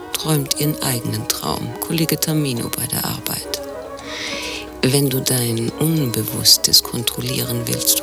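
Gravelly, brazen opera diva. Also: female voice, very deep voice, full character voice. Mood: mocking. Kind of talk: German radio play acting.

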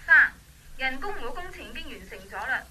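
Switchboard operator: businesslike, Hong Kong Cantonese, high-pitched, very bright forceful tone, radio drama. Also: very animated, a little fast, husky, exaggerated voice, rough recording. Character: businesslike female telephone company operator.

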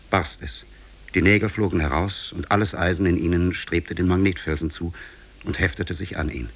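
Shipwrecked prince, very low husky voice, solemn, measured, declamatory, old radio fairy-tale drama. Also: male voice. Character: shipwrecked prince recounting his sea ordeal, grave and solemn. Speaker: storytelling character in a radio play. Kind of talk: 1950s German radio drama, literary fairy-tale narration.

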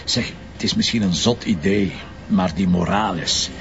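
A man's voice: low-pitched, moderate pace, vocal fry, fairly bright tone. A man with a Flemish accent playing a stubborn, impatient, argumentative police commissioner in a crime audio drama. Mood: grumbling. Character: stubborn, impulsive, grumbling male police commissioner. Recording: dull lo-fi sound with background noise.

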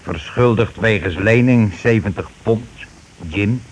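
An actor playing a scheming, money-grabbing husband and landlord in a radio play, talking in wheedling, self-justifying colloquial patter. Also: male voice, fairly low pitch, measured pace, close to an everyday voice. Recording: noisy old radio drama recording.

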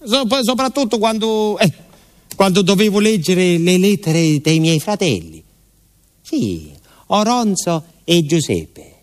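An actor playing a naive, talkative village postman with a southern Italian accent, telling his story in a confiding, halting radio monologue. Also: high voice, very animated, heavily acted. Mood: wistful, confiding. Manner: folksy, confiding, with hesitations and repetitions.

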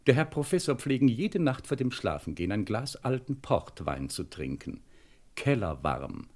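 An actor playing a haughty, pedantic middle-aged English butler in a German radio crime comedy, speaking formally and with dry sarcasm. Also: male voice, mid-range voice, very animated, normal speed, a little mellow. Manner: pompous, formal, dryly sarcastic. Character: haughty, pedantic English butler, easily frightened, about fifty.

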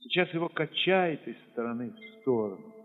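An actor playing a war-weary, tender dance ensemble leader recalling besieged children in an emotive, measured audio-play monologue, with a husky voice. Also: male voice, mid-range voice, lively intonation, in a large hall, phone-quality sound, faint music behind, lightly acted. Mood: somber, tender. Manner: measured, emotive, with dramatic swells and hushed drops.

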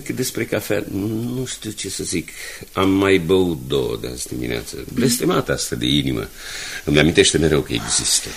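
An older, kindly father and director in a radio drama. His voice is very low and creaky, yet it swings widely in pitch as he talks with measured courtesy. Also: big pitch swings, exaggerated voice. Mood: wry, gentle. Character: kindly ageing father and company director with weak heart. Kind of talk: Romanian radio drama acting. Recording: 1980s radio drama, faint background noise.

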